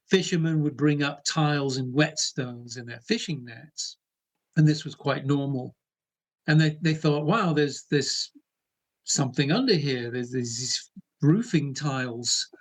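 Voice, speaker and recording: A middle-aged man, an archaeologist, explaining things in an interview in a relaxed way, with fillers and restarts. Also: on the high side, measured pace. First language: English. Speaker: archaeologist guest.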